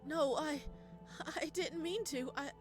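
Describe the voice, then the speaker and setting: A woman's voice: high voice, slow pace, pitched above the performer's own voice. A woman voicing a panicked, guilt-stricken young man in a visual novel, stammering and pleading. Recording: game music behind the voice.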